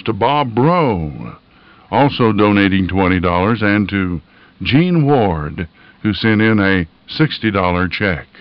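Low-voiced folksy man, dull lo-fi sound. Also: no room sound. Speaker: radio station host. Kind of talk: informal radio announcements with listener letters and donation appeal. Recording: dull, band-limited lo-fi audio.

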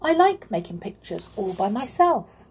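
A woman's voice: mid-range voice, sing-song, moderate pace, a little mellow, breathy edge, voice pushed up, exaggerated voice. A woman voicing an indignant, proud male clockwork clown in a children's story, speaking emphatically. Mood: polite, firm.

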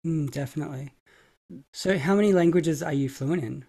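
A man hosting an interview podcast, asking questions in a measured, scripted-sounding way. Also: on the high side, dark tone. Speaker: podcast host.

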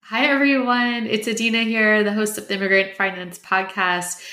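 A woman's voice: medium pitch, flat delivery, moderate pace, sharp tone. A woman hosting a finance interview podcast, talking in an upbeat, conversational way with the odd "um". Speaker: podcast host.

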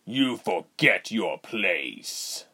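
A man's voice: lowish voice, big pitch swings, drawn-out words, pushed and bright, exaggerated voice. A man voicing a cruel, arrogant general in a fantasy storybook, with a menacing, commanding manner. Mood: cold contempt. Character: cruel, arrogant male general, wicked villain.

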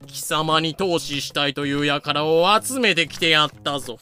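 A man's voice: fairly high pitch, normal speed, pushed and bright, faint music behind. A man playing a smug, haughty colonial governor in a comedic voice drama, talking in a pompous, sardonic way. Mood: grandly persuasive.

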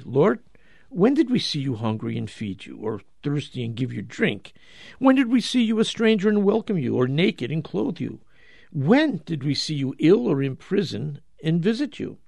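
A man with a fairly high voice and a strong gravelly creak, recorded close and dry. He delivers religious commentary and scripture with deliberate, emphatic phrasing, as a show host would. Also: darkish tone, dry recording.

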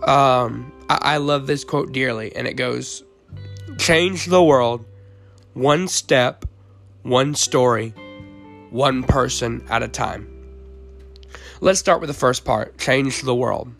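A teenage boy, hoarse from the flu, hosting a faith podcast in an earnest tone with frequent ums and uhs. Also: medium pitch, takes it slowly, pauses often, a bit bright, soft background music. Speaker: podcast host.

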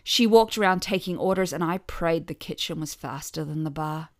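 A woman's voice: low voice, normal speed, no room sound. A middle-aged woman reading a scripted first-person story with wry, dry humour. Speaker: podcast author and narrator.

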